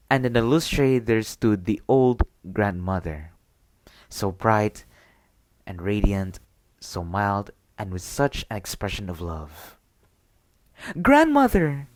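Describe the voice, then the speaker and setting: A man reading a bedtime story as ASMR, with a gentle, deliberate storybook delivery. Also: lowish voice, dry recording.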